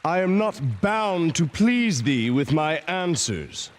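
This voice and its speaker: An actor playing a brash, sarcastic young outlaw who talks in a blunt, mocking, quick-tempered way in a video game. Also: male voice, fairly high pitch, sing-song.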